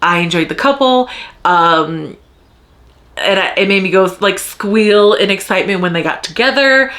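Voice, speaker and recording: A woman's voice: mid-range voice, lively intonation, moderate pace, a bit bright. Chatty, rambling woman book vlogger. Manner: rambling, self-interrupting, thinking aloud. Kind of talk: casual chat to viewers, answering tag questions.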